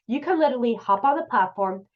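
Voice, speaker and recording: A woman's voice: on the high side, lively intonation, quick pace. A woman in her thirties hosts a casual tips show, chatty and upbeat as she talks straight to her viewers. Her voice sounds congested and nasal from a cold. The recording is a little dull and muffled. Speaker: host. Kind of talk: casual online business tips.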